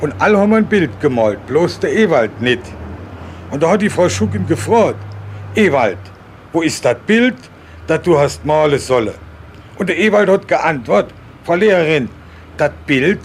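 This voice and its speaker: A man's voice: on the high side, big pitch swings, normal speed, slight background noise. An older man telling his memories as an eyewitness in a spontaneous, folksy way. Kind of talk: spontaneous oral storytelling.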